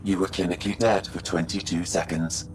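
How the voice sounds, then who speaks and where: A man voicing a calm, formal shipboard artificial intelligence in a video game, measured and matter-of-fact. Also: deep voice, close to an everyday voice. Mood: clinical. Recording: music behind the voice.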